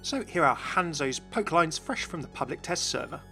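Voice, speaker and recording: A man narrates a gaming video in an upbeat, explanatory way. His voice sits a little high, bright and forceful, close on the mic with music underneath. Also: lively intonation, normal speed. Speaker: video game channel narrator.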